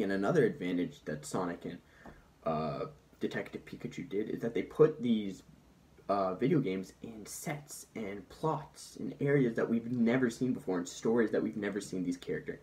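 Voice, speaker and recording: Man, lower voice, informal film commentator, conversational, bantering, mock-defensive. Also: expressive, a little fast, a little mellow. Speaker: film commentator presenting points. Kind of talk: casual comedic video essay, bantering with an unheard sidekick.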